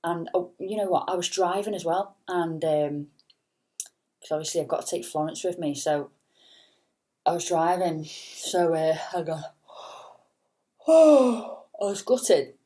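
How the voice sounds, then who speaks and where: A woman with a notably low, soft voice talks in a loose, meandering way. She fills her sentences with "um" and "you know" and drops in a casual swear word now and then. It sounds like an unscripted late-night vlog. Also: lively intonation, measured pace, long pauses. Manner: chatty, full of fillers and casual swearing. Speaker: singer and vlogger. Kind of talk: rambling late-night chat.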